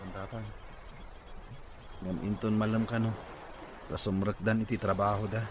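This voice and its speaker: A fairly low male voice with a Filipino accent and clear background noise. A man acting as a practical farmer in a conversational radio drama. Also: flat delivery, normal speed, natural voice. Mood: matter-of-fact.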